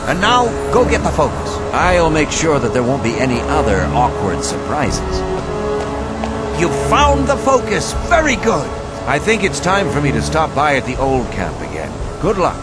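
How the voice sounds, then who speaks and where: A sly, friendly ally from a video game. He talks in a slightly high, very lively voice with a knowing, teasing manner, music playing behind. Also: normal speed. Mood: pleased.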